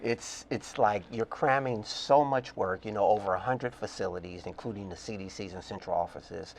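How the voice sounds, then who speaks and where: A man's voice: on the low side, normal speed, creaky, a little hiss or hum. An older man from Oakland with an American accent, answering as a guest in a conversational interview. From Oakland, California, United States. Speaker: interview guest, custodial and grounds director.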